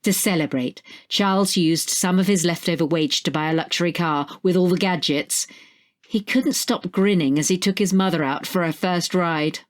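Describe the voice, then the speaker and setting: Lowish-voiced woman reading a story. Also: normal speed. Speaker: story narrator. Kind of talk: scripted short story narration.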